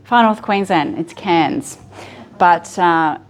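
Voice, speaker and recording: A middle-aged Australian woman with a fairly low voice talks to a roomful of listeners in a library. She is relaxed and chatty, and her sentences are broken up by ums and small restarts. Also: slow. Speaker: author giving a library talk. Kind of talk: relaxed public talk to an audience. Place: library meeting room.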